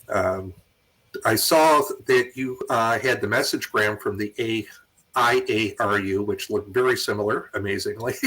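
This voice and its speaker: Male host, American accent, raspy yet sharp tone, conversational moderating of audience questions. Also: fairly low pitch, low-quality recording. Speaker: host and moderator of an online talk. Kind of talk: informal moderated question-and-answer.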